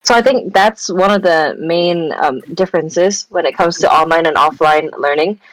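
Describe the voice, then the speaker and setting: A woman's voice: on the low side, moderate pace, dry recording, low-quality recording. A young woman from Malaysia, a student guest on a casual podcast, answering thoughtfully with fillers like um and like. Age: young adult. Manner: reflective, with fillers like um and like. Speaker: guest, student society president.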